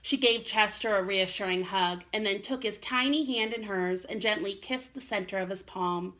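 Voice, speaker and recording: A woman's voice: mid-range voice, normal speed, a bit bright. A woman teacher reading aloud expressively to young children, with an encouraging manner. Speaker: first-grade teacher.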